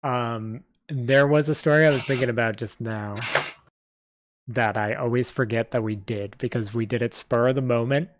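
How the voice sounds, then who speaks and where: A man chatting casually as host about his audio stories, talking informally in an animated, slangy way. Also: medium pitch, normal speed. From Italian background. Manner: informal, animated talk peppered with "like", "dude" and "bro". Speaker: host, audio drama creator. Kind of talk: casual chat.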